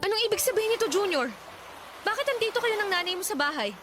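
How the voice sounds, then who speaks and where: A woman's voice: very high-pitched, expressive, over music. A woman playing a feisty, aggrieved young wife in a Filipino radio drama, indignant and pleading. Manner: indignant, pleading, fast-talking.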